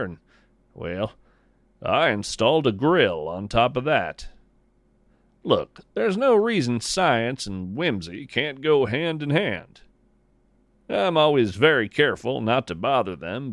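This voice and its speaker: An American man hosting a podcast, reading whimsical scripted narration in a wry, gently earnest storytelling manner. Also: medium pitch, no echo.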